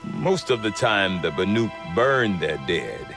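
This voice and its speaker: An actor playing a grave, gruff older trader of a northern tribe in a video game cutscene. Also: male voice, lowish voice, big pitch swings, music in the background, exaggerated voice. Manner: slow, grave, dramatic.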